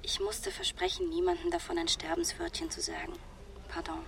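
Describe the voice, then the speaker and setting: A woman's voice: extremely high pitch, big pitch swings, brisk, vocal fry, a bit bright, slight background noise, exaggerated voice. A young woman playing a guarded, earnest student in a radio play, speaking in a colloquial, somewhat defensive way. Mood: guarded, confiding. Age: young adult.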